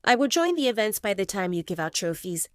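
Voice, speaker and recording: A woman voicing a young adult sister who talks in a brisk, matter-of-fact way. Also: medium pitch, sing-song, dry recording, clean studio sound, natural voice.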